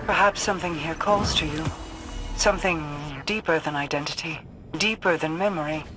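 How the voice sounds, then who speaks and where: A woman's voice: sits low, natural voice. Woman as a regal, solemn queen, echoing voice. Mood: solemn, cryptic. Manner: formal, measured, cryptic. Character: regal, solemn Awoken queen guiding a guardian. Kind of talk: scripted video game dialogue. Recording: roomy, distant, music behind.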